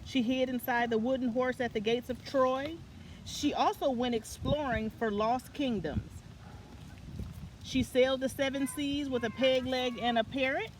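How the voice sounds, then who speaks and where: A woman's voice: high voice, fairly even intonation, a little slow, background noise. A woman reading a children's picture book aloud as a story time reader, now and then stumbling and correcting herself.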